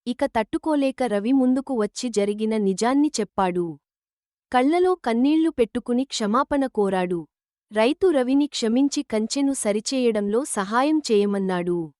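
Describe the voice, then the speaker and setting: A woman's voice: fairly high pitch, expressive, unhurried, a little mellow, no room sound, studio quality. A woman reading a scripted children's moral story as the narrator.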